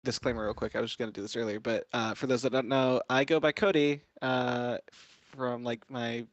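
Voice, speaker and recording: Young male podcast co-host, giggly, fumbly, mid-pitched voice, dry close sound. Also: steady tone, moderate pace, no echo, highs cut off. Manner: laughs often, fumbles and restarts words. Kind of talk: casual chat among friends.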